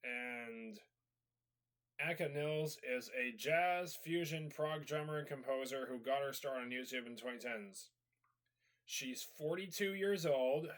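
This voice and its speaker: A man's voice: mid-range voice, a bit bright. Sniffly man rambling through a casual rant. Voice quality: slightly raspy. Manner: rambling, with many fillers and repeated "so yeah". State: recovering from a cold, sniffly. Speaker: video host. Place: at home.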